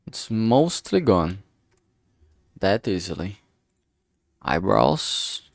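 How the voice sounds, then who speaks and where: A man's voice: lowish voice, gravelly, no room sound. A man casually talking through his digital art work on a livestream, murmuring each step as he goes. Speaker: streamer making a visual novel. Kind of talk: casual livestream commentary while drawing.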